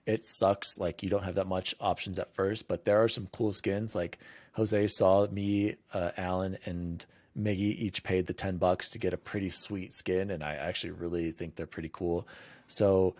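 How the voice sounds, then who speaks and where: A man with a fairly low voice chats about games on a podcast, rambling and restarting his sentences in quick bursts. His voice is recorded close and dry, with no room sound. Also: fairly even intonation, a little mellow, no echo. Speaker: podcast host. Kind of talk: casual gaming podcast chat.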